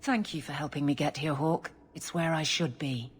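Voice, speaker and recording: A woman's voice: low-pitched, moderate pace, slight background noise, lightly acted. A woman playing a steadfast, no-nonsense guard officer in a fantasy game, speaking firmly and earnestly. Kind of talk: dramatic game cutscene acting.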